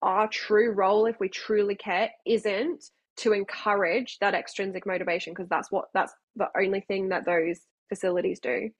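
A woman's voice: mid-range voice, little pitch movement, normal speed, few pauses, no echo. Woman podcast host, earnest and emphatic, repeats herself for stress, dry close mic. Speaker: podcast host and health practitioner. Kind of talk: conversational podcast interview.

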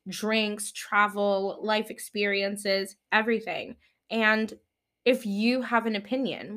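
A woman's voice: medium pitch, fairly bright tone. A woman in her twenties hosting a solo podcast, chatting playfully and rambling, with laughs at her own jokes. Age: 20s. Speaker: podcast host.

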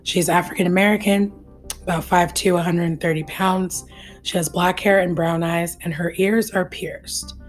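A woman's voice: lowish voice, fairly even intonation, normal speed, soft background music. A woman co-hosting a casual true-crime podcast, talking earnestly and chattily and laughing easily. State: hoarse, losing her voice. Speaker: podcast co-host.

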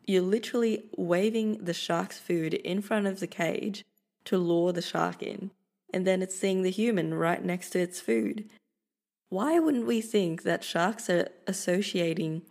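Chatty woman with a gravelly low voice. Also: a little mellow. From Philippines. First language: Filipino. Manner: chatty, informal, light laughter. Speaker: podcast host. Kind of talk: solo podcast monologue. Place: Australia.

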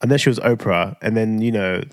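A man's voice: lowish voice, a little fast. A man, co-hosting a casual podcast chat, musing in a laid-back way with lots of restarts. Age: 30s. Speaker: podcast co-host.

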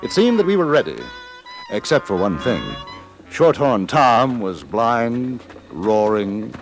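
An actor playing a courteous, cool-headed gentleman adventurer, narrating and acting formally in a 1950s radio drama. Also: medium pitch, very animated, soft background music. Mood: dry storytelling. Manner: polite, formal, measured.

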